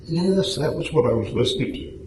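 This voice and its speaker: A man playing an unhurried, distracted doctor in a radio play, with a halting, offhand manner. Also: on the high side, lively intonation, lightly acted. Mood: calm, offhand. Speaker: amateur radio drama actor. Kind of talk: live radio play reading. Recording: echoey room, clear background noise.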